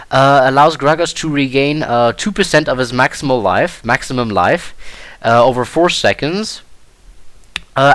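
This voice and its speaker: A man's voice: mid-range voice, fairly bright tone, dry recording. A young man whose first language is German, giving casual commentary over his own gameplay as a tutorial, hesitant with many fillers and restarts.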